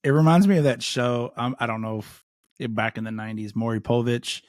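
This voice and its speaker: A man's voice: medium pitch, steady tone, no room sound. Dry-sounding man hosting a chat. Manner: asks quick questions, jokes and laughs. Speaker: podcast host. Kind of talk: casual interview chat.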